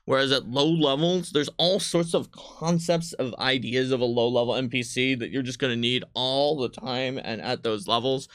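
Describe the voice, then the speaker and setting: A man's voice: medium pitch, steady tone, normal speed, hardly any pauses, fairly bright tone, dry recording, studio quality. A man speaking as a game designer in an unscripted panel chat, explaining things and often jumping in. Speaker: game designer on a company stream.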